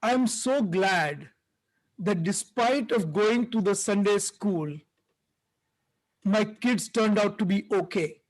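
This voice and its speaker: A man hosting a podcast, reading an article aloud and commenting on it in a halting way, with many fillers and restarts. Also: sits high, poor audio. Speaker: podcast host.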